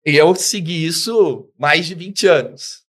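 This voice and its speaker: A middle-aged man, a business guest in an interview, telling his life story with lively acted-out dialogue. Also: on the high side, takes it slowly. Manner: animated storyteller, acts out quoted dialogue.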